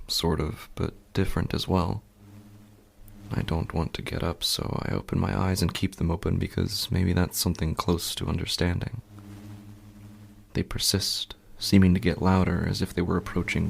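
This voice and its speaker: A man playing an uneasy, sleepless husband in a horror podcast, telling his story slowly and haltingly in a hushed, gravelly, husky voice. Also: low-pitched, a little hiss or hum, exaggerated voice. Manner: hushed, deliberate, halting with long pauses. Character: uneasy, sleepless husband haunted by faint night sounds. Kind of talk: slow first-person horror podcast narration.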